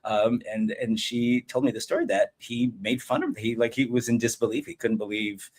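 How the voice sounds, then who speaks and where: A man's voice: mid-range voice, fairly even intonation, moderate pace. A man with an American accent chatting casually as a podcast guest, telling personal stories in a rambling way peppered with "you know". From United States. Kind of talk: casual conversational interview, personal storytelling.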